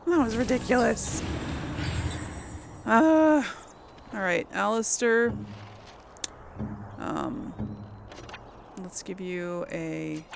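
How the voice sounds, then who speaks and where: A woman's voice: medium pitch, drawn-out words, creaky, pauses often, background noise. A woman hosting casual video game commentary, thinking aloud as she plays. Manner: thinks aloud while playing. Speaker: gaming host.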